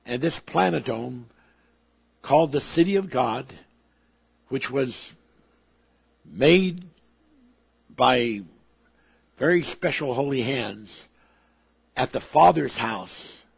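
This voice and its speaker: Man, preacher, gravelly husky voice, slow lively delivery, dry sound. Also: medium pitch, dry recording. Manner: emphatic, swelling and dropping in volume. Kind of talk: sermon.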